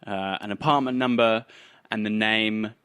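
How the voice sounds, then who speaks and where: A man's voice: on the low side, steady tone, a little slow, a bit bright, smooth, dry recording, clean studio sound. A man narrating a tabletop roleplaying game as game master, setting scenes descriptively.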